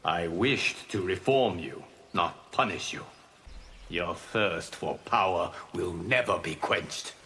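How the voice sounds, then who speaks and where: An actor playing a calm, solemn, merciful guardian god in a video game, speaking in a measured, formal, earnest way. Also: male voice, low voice, slight background noise, full character voice. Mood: solemn regret.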